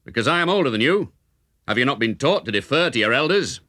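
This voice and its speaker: Man acting huge older storybook brawler, blunt and hearty, commanding, forceful bright tone, animated pitch. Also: quick pace, no echo, studio quality. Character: massive older quarterstaff fighter, blunt, hearty, taunting stranger.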